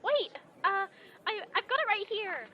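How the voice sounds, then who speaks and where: A nervous young woman in an audio drama, with a very high, lively voice that swings around. She is flustered and hesitant, tripping over her words at an unhurried overall pace. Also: highs cut off, a little hiss or hum. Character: nervous, scatterbrained young woman, reluctant policyholder.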